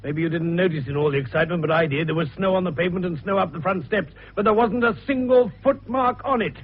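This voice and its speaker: An actor playing a shrewd, jovial older doctor turned detective in a British radio drama, avuncular and fond of teasing questions. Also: male voice, sits high, fast, natural voice. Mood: emphatic, probing. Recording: dull lo-fi radio recording with hiss.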